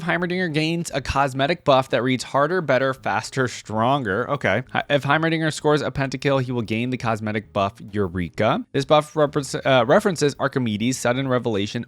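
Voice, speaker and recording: A man's voice: medium pitch, no room sound. A man giving casual, conversational reaction commentary, sometimes reading aloud. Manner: conversational, informal. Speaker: reaction video host.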